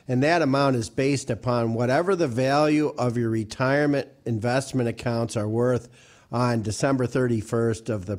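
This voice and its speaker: A man in his mid-sixties walks through retirement rules steadily and precisely. His voice is an ordinary mid pitch. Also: darkish tone. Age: 60s. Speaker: financial advisor. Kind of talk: explanatory advice.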